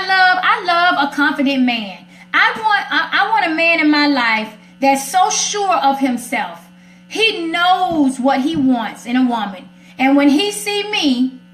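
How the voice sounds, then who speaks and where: A woman's voice: extremely high pitch, fairly bright tone. An American woman hosting a casual radio chat, outspoken, sassy and emphatic as she gives her opinions. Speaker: radio segment host. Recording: roomy echo.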